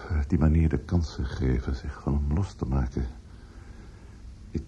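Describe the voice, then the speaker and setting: An actor in a radio play playing a defensive, grieving older man, earnest and halting, often repeating words. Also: very deep voice, warm tone. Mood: hesitant, explaining. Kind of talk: Dutch radio play acting. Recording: dull, noisy old radio recording.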